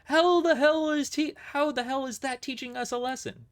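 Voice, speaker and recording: A man's voice: very high voice, normal speed, pitched above the performer's own voice. A man voicing a furious, foul-mouthed small woman squad leader in an indignant shriek for an audio drama. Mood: shrieking fury. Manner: indignant, swearing, emphatic.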